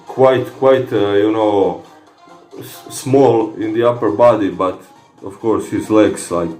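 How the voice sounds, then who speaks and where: A man with a Croatian accent who speaks Croatian natively, casually answering comments from viewers in a fitness vlog, halting with many fillers and restarts. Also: fairly low pitch, darkish tone, echoey room. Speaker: fitness vlogger.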